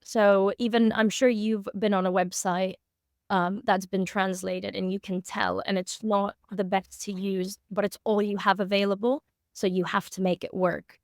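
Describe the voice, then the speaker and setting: A woman's voice: medium pitch, flat delivery, moderate pace, no room sound. Young woman explaining things candidly, dry close sound. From born in Moscow, grew up in Singapore. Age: 20s. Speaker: SEO consultant and interview guest. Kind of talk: conversational expert interview.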